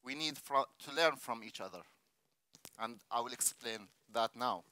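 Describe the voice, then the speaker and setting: A man from Palestine giving a keynote talk on a theater stage, explaining his point in a measured way. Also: mid-range voice, pushed and bright, no room sound. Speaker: keynote speaker. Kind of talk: conference talk.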